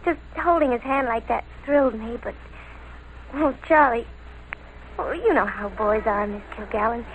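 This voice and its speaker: Woman doing a lovestruck american teen girl, high voice, breathless n naive, gushy 40s radio drama, bit of hiss. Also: close to an everyday voice. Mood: dreamy, gushing. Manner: breathless, slangy, halting confession. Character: lovestruck, defiant teenage girl, gushing and naive. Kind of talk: 1940s American radio crime drama acting. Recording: noisy vintage radio recording.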